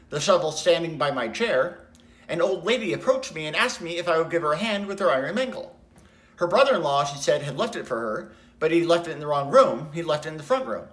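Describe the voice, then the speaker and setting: A man's voice: fairly high pitch, a bit bright, voice pushed up. A man playing a chatty, mock-polite but menacing working-class man in a theatrical monologue. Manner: conversational, digressive, mock-polite.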